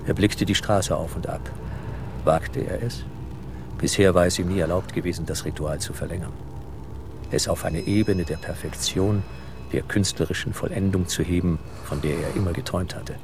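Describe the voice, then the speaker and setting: A man narrating a dark thriller as the scripted storyteller of an audio drama. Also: low-pitched, normal speed. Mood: dark, suspenseful. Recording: clear background noise.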